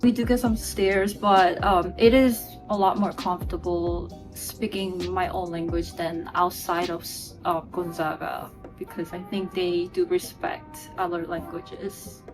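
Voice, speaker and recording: A woman's voice: on the low side, unhurried, few pauses, faint music behind, rough recording. A young woman whose first language is Korean, answering interview questions sincerely. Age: young adult. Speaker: student interviewee.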